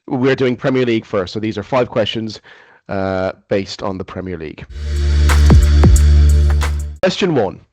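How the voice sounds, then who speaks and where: A man hosting a casual football quiz on a podcast. He reads the questions slowly and fills pauses with ums and uhs. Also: lowish voice, animated, lo-fi sound, loud music behind the voice. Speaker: quiz host.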